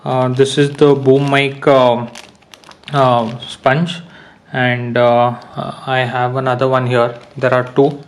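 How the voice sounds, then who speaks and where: A young man explaining an unboxing and install step by step, with many pauses and fillers. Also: medium pitch, little pitch movement, a little hiss or hum. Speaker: motorcycle gear reviewer. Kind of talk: casual product unboxing and how-to.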